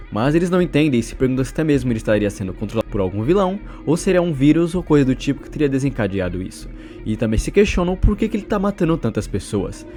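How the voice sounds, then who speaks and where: A man's voice: medium pitch, a little mellow. A man with a Brazilian accent narrating a recap of a horror story.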